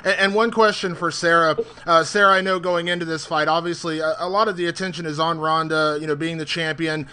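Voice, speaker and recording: A man with a very bright, forceful voice pitched a little high asks press questions. He is a reporter who talks in a wordy, conversational way. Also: level tone, brisk, hardly any pauses, clean tone.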